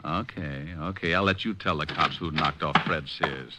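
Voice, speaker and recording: An actor playing a cocky, wry private detective with an American accent, in the style of old radio crime drama. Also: male voice, extremely low pitch, moderate pace, very noisy. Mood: cool needling. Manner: wry, sarcastic, hard-boiled. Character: wisecracking, cocky private detective, flirtatious with women. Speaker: detective and narrator. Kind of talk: 1940s-50s radio detective acting. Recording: old radio recording with music.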